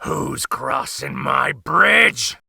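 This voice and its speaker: A man's voice: mid-range voice, lively intonation, very slow, creaky, sharp tone, husky, exaggerated voice. A man voicing a hungry, crabby male troll who growls and snarls his lines. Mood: menacing.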